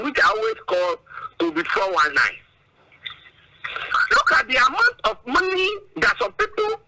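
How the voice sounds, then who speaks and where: A man's voice: very high-pitched, noisy background. A Nigerian man giving political commentary as a guest analyst, emphatic and indignant, stretching out big words and firing off rhetorical questions.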